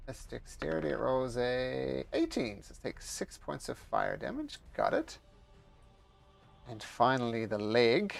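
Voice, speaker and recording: A man with a gravelly edge to his voice, speaking in a mixed, hard-to-place accent. He runs a tabletop game online in a laid-back way, calling out rolls and damage. Faint music plays under him. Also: medium pitch, big pitch swings, unhurried. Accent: mixed international accent. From New Zealand. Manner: laid-back, narrates dice rolls plainly. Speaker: game master. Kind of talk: running a tabletop game over voice chat.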